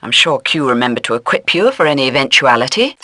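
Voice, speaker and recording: An actress playing a stern intelligence handler giving crisp, formal mission briefings in a video game. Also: female voice, rumbling low, lively intonation, fast, sharp tone, heavily acted. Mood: stern, businesslike. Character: stern, businesslike intelligence handler directing a secret agent.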